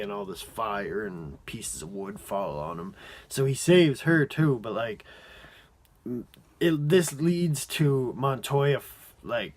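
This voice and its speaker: A man giving a casual, off-the-cuff review as an amateur reviewer, rambling and halting, often padding with "like" and letting sentences trail off. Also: on the low side.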